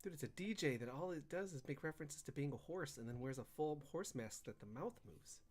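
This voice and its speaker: A man's voice: fairly high pitch, expressive, brisk. Man bantering casually, some background noise. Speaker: co-host of a gaming radio show.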